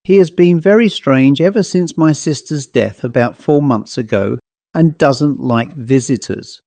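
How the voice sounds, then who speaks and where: A man voicing a gentle young woman, plaintive and anxious, in a ghost story. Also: mid-range voice, unhurried, vocal fry, dry recording. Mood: polite, hushed. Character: sweet-faced young woman, gentle, plaintive, anxious about her father.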